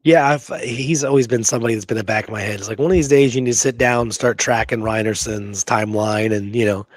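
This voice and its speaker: A man's voice: fairly low pitch, fast, no echo. A man hosting a history discussion, talking informally with emphatic opinions. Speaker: host of a history show.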